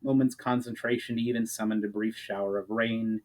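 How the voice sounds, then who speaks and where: A man's voice: fairly low pitch, level tone, a little fast, a little mellow. A man narrating a fantasy story as a dramatic reading, stressing the starts of phrases and letting the ends fall away, with the odd stumble. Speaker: writer reading own fantasy chapter. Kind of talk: dramatic audiobook-style narration.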